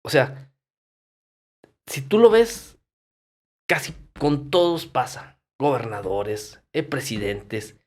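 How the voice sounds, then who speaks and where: Mexican male podcast guest: mid-pitched, colloquial, emphatic storyteller. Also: big pitch swings, takes it slowly, long pauses, a little mellow. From Durango, Mexico. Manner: emphatic, colloquial, salty, uses rhetorical questions and acted-out quotes. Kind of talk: casual podcast conversation with anecdotes.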